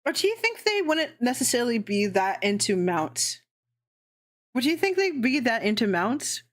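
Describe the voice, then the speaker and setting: A woman's voice: on the high side, sing-song, normal speed. A young adult woman hosting a casual livestream, chatting in a rambling way, swearing freely and laughing often. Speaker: VTuber streamer hosting a worldbuilding session.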